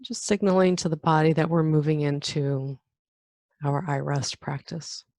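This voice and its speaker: A woman leading a guided meditation in a calm, unhurried manner, leaving long pauses between phrases. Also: sits low, no room sound, clean studio sound. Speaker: meditation guide, yoga nidra teacher. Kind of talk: guided meditation, body scan.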